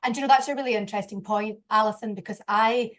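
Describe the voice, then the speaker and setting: A woman's voice: a little high, steady tone, quick pace, a bit bright. A woman hosting a conversational interview, talking in an agreeable, discursive way. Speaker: interviewer and recruiter.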